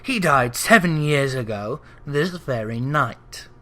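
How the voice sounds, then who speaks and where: Cold old miser for a storybook, acted by a narrator, curt and dismissive, gruff delivery with wide pitch swings. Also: animated, slow pace, pushed and bright. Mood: cold, demanding. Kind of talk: dramatic storybook character reading.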